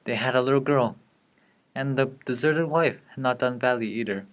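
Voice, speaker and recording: A man playing a weary, bitter young husband in a brooding, deliberate dramatic monologue. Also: medium pitch, lightly acted. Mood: bitter resentment.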